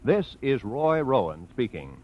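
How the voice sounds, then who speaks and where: Lively vintage American radio announcer man. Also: medium pitch, a little slow, heavy background noise. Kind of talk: 1940s radio announcing, scripted. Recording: slightly muffled vintage radio broadcast.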